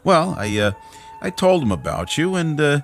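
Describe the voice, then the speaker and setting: A good-natured, blunt pal in a vintage drama. His creaky voice leaps wildly up and down as he rambles breezily, music behind him. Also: fairly high pitch, very animated, normal speed. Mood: breezy, teasing. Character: hearty, blunt, good-natured working-man buddy. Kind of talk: mid-century television drama acting.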